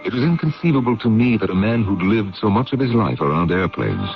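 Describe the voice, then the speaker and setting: A deep-voiced, earnest investigator with a gravelly edge and lively intonation. He speaks seriously, in old radio drama style, on a dull recording with music behind. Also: brisk. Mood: grave, narrating. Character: sober male investigator narrating his own case, earnest and considerate. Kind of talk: old-time radio drama narration and dialogue.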